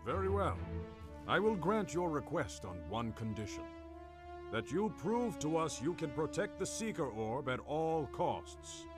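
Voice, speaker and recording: A man's voice: fairly high pitch, sing-song, a little slow. A man playing a formal, grave duke in a video game, speaking with pompous ceremony. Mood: solemn. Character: stately, formal male duke, grave ruler. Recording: loud music under the voice.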